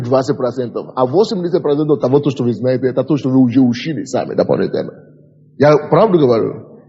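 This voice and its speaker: Husky pastor in an echoing hall. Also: male voice, mid-range voice, a little mellow. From Africa. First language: an African language. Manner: emphatic, repeats key phrases and asks the class questions. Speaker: pastor teaching a ministry school. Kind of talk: exhorting lecture. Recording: dull lo-fi tape with long hall reverb.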